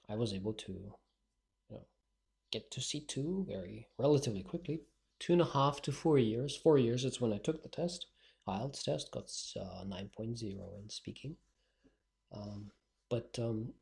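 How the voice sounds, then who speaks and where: Gravelly-voiced blunt male coach. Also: on the low side, darkish tone. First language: not English. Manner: blunt, direct, persuasive. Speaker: English speaking coach. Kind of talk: solo podcast monologue.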